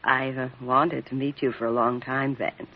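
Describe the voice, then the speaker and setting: Breathy, sultry femme fatale. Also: female voice, a bass voice, little pitch movement, normal speed. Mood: sultry, coaxing. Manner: coy, breathy, lingering hesitations. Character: sultry, bold, flirtatious woman murder suspect. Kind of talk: 1940s radio detective drama acting. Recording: muffled old radio recording.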